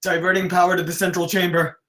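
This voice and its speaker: A man's voice: sits high, level tone, fast, pushed and bright. A man reading an anxious, cautious technician in a screenplay, with a nervous manner.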